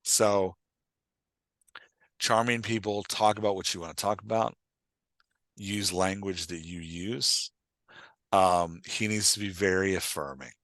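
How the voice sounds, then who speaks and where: Bright, emphatic man coaching in conversation. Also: sits low, fairly even intonation, measured pace, pauses often, no room sound, clean studio sound. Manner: emphatic, repeatedly checks for understanding; acts out short example lines of dialogue. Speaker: podcast host and writing coach.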